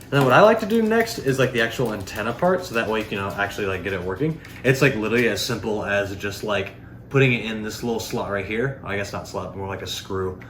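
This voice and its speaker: A man's voice: lowish voice, animated, fast talker, a bit bright. A man giving a casual, unscripted product demonstration in a rambling, informal way, peppered with filler words. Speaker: product reviewer. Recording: echoey room with faint background noise.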